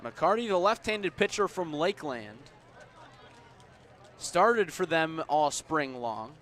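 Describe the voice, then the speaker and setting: A man doing live radio play-by-play of baseball as the announcer, with a measured delivery that rises on the action. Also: fairly high pitch, big pitch swings, fairly bright tone. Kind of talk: live radio sports commentary. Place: outdoors at a baseball stadium. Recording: outdoor ballpark ambience noise behind.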